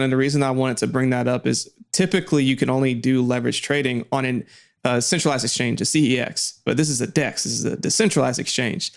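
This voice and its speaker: A man's voice: mid-range voice, creaky. A man hosting an interview show, upbeat and asking questions with casual fillers. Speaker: podcast host.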